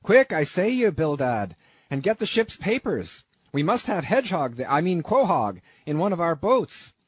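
A man voicing a gruff, blustering old sea captain who shouts his orders in old-fashioned seafaring speech. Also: on the high side, sing-song, measured pace, dry recording, pitched above the performer's own voice, exaggerated voice.

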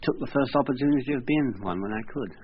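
A man from Wales talks through an archive radio interview in a modest, polite and unhurried way. His voice sits at an ordinary middle pitch. The old recording sounds dull, with a faint hiss behind him. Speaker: jockey guest.

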